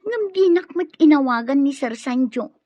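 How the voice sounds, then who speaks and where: A woman's voice: sits high, expressive, moderate pace, dark tone, dry recording. A woman playing an impatient, scheming young daughter in an Ilocano radio drama, with an Ilocano accent. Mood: annoyed, impatient.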